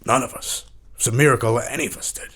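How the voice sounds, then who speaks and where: An actor playing a washed-up, haunted rock frontman in his fifties, rambling and swearing haltingly in a mock podcast interview. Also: medium pitch, steady tone, a bit bright, breathy edge. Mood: bitter, haunted. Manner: halting, repetitive, rambling, profane.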